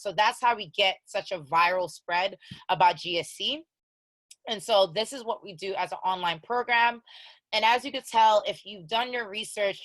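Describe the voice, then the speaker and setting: A woman's voice: mid-range voice, fairly bright tone, no room sound, low-quality recording. A woman in her thirties with an American accent, a co-founder giving an online program orientation in a conversational, direct way and repeating key instructions. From Haiti. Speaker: accelerator co-founder presenting orientation.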